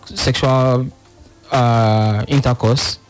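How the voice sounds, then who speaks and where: A man's voice: mid-range voice, fairly even intonation. Male panel guest, Nigerian accent, mid-range voice, hesitant explanatory delivery, faint music bed. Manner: hesitant, halting, searching for words. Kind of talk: radio panel discussion.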